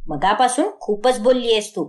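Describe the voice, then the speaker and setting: A woman's voice: a little high, lively intonation, moderate pace, natural voice. Woman doing a domineering, scolding mother-in-law. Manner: scolding, sharp-tongued.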